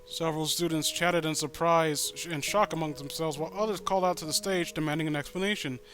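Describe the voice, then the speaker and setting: A man's voice: a little high, steady tone, fast talker, fairly bright tone, faint music behind. A man reading a story aloud with comedic, exasperated commentary, swearing freely and stumbling over words. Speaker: host reading and riffing on a fan-written horror story.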